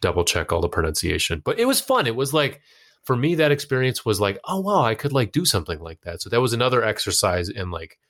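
A man's voice: fairly low pitch, wildly swooping pitch, fast talker, a bit bright. An American man chatting casually as an interview guest, feeling his way through thoughts in informal, meandering phrasing. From United States. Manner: informal, hesitant phrasing with frequent "like" and "you know". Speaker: interview guest, actor and musician.

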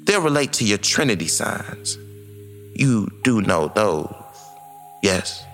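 A man playing a formal, theatrical mentor and ceremony commentator in a sci-fi audio drama, with a grand, measured announcer manner. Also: lowish voice, very animated, a bit bright, soft background music, full character voice. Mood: instructive.

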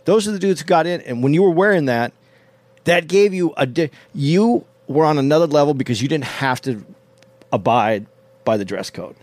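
A man's voice: a little high, expressive, normal speed, a little mellow, dry recording. Rambling man telling a story. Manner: rambling, with frequent restarts and "um"s. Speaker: podcast co-host. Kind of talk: casual chat, storytelling.

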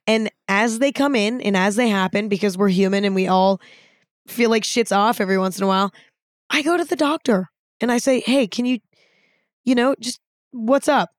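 Raspy young woman, dry close sound. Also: medium pitch, no echo. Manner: frank, self-deprecating, casual swearing. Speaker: podcast host. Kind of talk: candid solo podcast talk.

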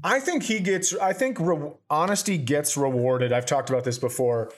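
A man's voice: on the high side, normal speed. A man, a comedian hosting a podcast, reading items aloud and riffing with blunt, crude humor. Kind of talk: casual comedic chat.

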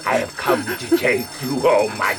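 A man's voice: medium pitch, huge pitch swings, a little slow, music in the background. A man playing a grandiose, ominous undead zombie leader in a horror radio drama, speaking like a self-made god. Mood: grandiose menace.

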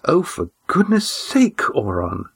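A man voicing a tired, testy old woman ship commander who speaks bluntly and flatly. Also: medium pitch, unhurried, gravelly, voice pushed up. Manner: testy, flat and factual.

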